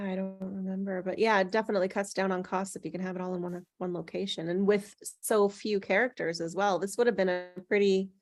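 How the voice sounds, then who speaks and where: A woman leading a casual group discussion in a chatty, agreeable way, with frequent soft interjections. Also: mid-range voice, dry recording. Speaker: host leading a script table-read discussion.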